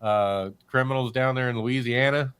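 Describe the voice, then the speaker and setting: Joking male co-host, middle pitch, laughs at his own wisecracks. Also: fairly even intonation, moderate pace, a bit bright, clean tone. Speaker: podcast co-host. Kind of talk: casual chat.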